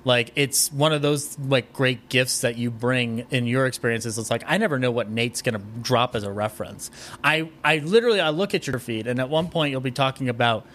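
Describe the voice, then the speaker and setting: A man chatting casually as a podcast guest, animated and jokey, with a rambling way of talking. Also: mid-range voice, a little fast. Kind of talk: casual chat.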